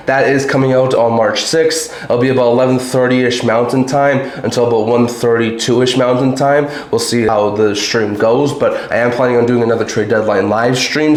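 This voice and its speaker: Slightly raspy man in an echoey room. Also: medium pitch. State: a little sick. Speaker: hockey channel host. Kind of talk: sports news commentary to camera or microphone. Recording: roomy, echoing home recording.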